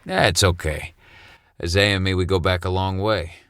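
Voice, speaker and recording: An actor playing an easygoing, middle-aged veteran police sergeant in an audio drama, wry and unflustered. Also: male voice, low-pitched, steady tone, normal speed, a bit bright, clear, resonant tone, dry recording, studio quality.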